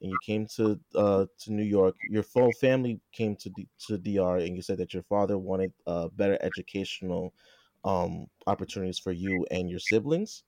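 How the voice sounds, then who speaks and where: A man's voice: deep voice, fairly even intonation, normal speed, darkish tone, dry recording. A man hosting a casual podcast interview, often repeating and correcting his words as he asks questions. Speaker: podcast host.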